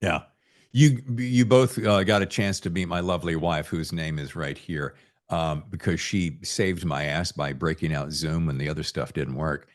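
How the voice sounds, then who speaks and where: A man's voice: rumbling low, steady tone, normal speed. A middle-aged man hosting a casual podcast chat, rambling and salty-tongued as he tells his stories. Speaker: podcast host.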